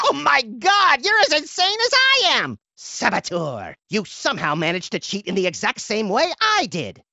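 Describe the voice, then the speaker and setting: A man voicing a manic, gleefully violent, childish cartoon rabbit in a video game, with flippant, theatrical delivery that swings between deadpan and shrill. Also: dry recording, dull audio, full character voice. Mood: bewildered, theatrical. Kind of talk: animated video game character voice lines.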